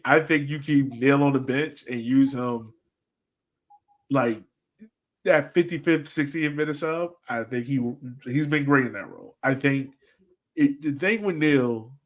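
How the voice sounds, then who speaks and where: A man's voice: mid-range voice, fairly bright tone. A man hosting a casual sports podcast, talking informally and thinking aloud as he rambles through his analysis. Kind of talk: casual soccer fan chat and analysis.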